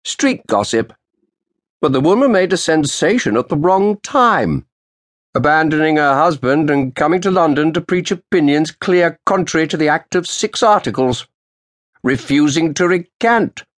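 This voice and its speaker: A man voicing a stern, sardonic old man past sixty with a sharp voice and a clipped manner. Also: fairly high pitch, animated, measured pace, no room sound, studio quality, pitched above the performer's own voice. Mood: grave.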